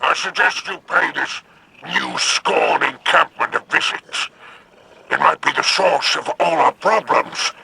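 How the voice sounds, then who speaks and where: Game villain-broker: sly alien crime boss, heavy gravel, lively swooping pitch, smug and greedy. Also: male voice, a little hiss or hum, heavily acted, poor audio. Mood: mocking. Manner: slow, smug, drawling with pauses. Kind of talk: video game character dialogue.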